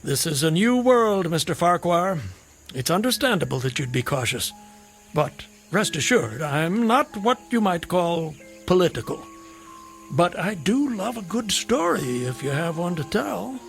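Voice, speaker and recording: An actor playing a garrulous, whimsical, hard-drinking drifter in a radio drama, with wry, theatrical, wordy phrasing. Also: male voice, high-pitched, very animated, measured pace, vocal fry, soft background music, full character voice. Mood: florid, musing.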